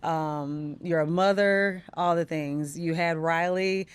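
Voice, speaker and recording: Low-pitched upbeat woman podcast host. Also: steady tone, takes it slowly, clean tone, no room sound. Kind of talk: casual celebrity interview chat.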